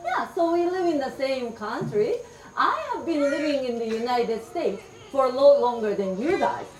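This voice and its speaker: A middle-aged woman from Japan performs folk tales for a room of children. Her voice is high and swoops with bright, playful energy. She speaks slowly and clearly and coaxes the audience to repeat words. The microphone is set well back, so her voice carries a roomy echo and faint background noise. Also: animated, recorded from a distance. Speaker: storyteller. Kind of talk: interactive storytelling for children.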